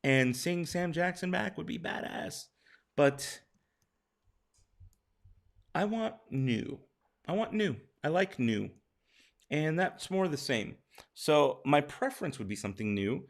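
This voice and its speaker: A man with a hoarse, tired voice, casually reading out viewer questions and answering them on a late-night live stream. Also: a little high, expressive, takes it slowly, pauses often.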